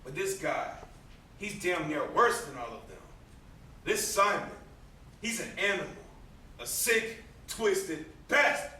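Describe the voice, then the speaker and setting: In a very echoey, noisy stage recording, a man plays a bitter young man racked with guilt. His voice is high and bright, and he declaims vehemently in amateur stage-drama style. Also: sing-song, a little slow, pauses often, recorded from a distance, full character voice. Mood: bitter despair. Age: young adult. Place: indoor stage, recorded from a distance.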